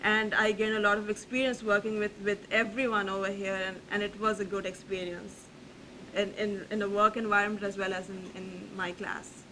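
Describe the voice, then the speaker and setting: A woman's voice: medium pitch, flat delivery, bright, forceful tone, slight background noise, rough recording. Earnest woman panelist from Pakistan. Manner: earnest, halting with many restarts. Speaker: panelist, graduate student. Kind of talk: panel talk. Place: university panel room.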